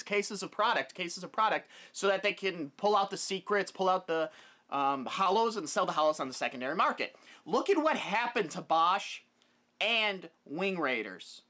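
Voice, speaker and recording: A man's voice: sits high, sharp tone. A young man talking casually and emphatically to camera, giving his opinions as a video host in a room at home. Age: young adult. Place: small wood-paneled room at home.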